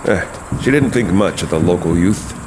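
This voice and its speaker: A man's voice: deep voice, expressive, normal speed, noisy background. A man, host and narrator of a horror audio drama, speaking in a scripted, dramatic storytelling way.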